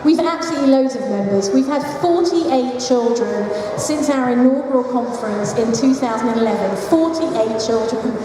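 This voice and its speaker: A woman's voice: fairly high pitch, normal speed, hardly any pauses, a crowd in the background. A woman gives a chatty conference presentation, with self-deprecating jokes and asides. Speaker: founder of a support group for single mothers. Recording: echoey hall, mic heard from a distance, noisy.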